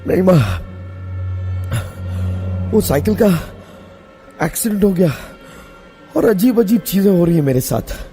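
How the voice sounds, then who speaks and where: A man's voice: high voice, very animated, unhurried, long pauses, dark tone, pitched above the performer's own voice. An anxious, shaken young man in a horror story, acted out by a male narrator. His voice is raised well up and pleading, with music underneath.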